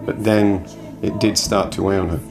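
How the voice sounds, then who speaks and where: Gravelly low-voiced thoughtful man. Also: fairly even intonation, moderate pace, over music. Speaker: interviewee. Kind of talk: documentary interview.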